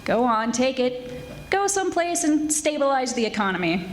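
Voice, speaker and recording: A woman playing a wisecracking private detective in 1940s radio mystery style, with brisk, wry, hardboiled delivery. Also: high-pitched, sing-song. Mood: brisk, bossy. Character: brisk, wisecracking female private detective narrating her case. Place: live stage radio theatre performance. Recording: echoey stage recording with music.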